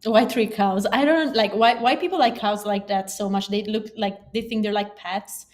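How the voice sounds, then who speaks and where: A woman co-hosting a live stream, chatting casually with giggly, quick banter. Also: mid-range voice. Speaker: livestream co-host.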